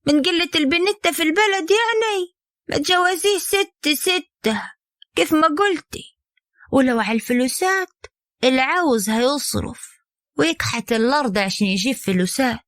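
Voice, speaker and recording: A woman voicing a sly young sister-in-law who needles slowly in a sing-song. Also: mid-range voice, dry recording, clean studio sound, lightly acted. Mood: sly mocking.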